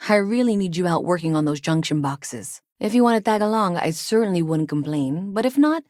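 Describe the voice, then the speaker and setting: A woman's voice: lowish voice, expressive, fairly quick, a little mellow, no room sound, studio quality, close to an everyday voice. An actress playing an anxious, earnest, chatty woman engineer in a sci-fi game, conversational and hesitant with wry asides. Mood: friendly, businesslike. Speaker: video game character. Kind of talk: naturalistic video game dialogue acting.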